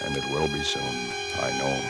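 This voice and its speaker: Very deep-voiced haunted man. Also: level tone, creaky. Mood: resigned dread. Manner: brooding, halting, theatrical dread. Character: haunted, doomed man narrating his dark confession. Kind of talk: 1940s radio suspense acting. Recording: vintage radio recording with music underneath.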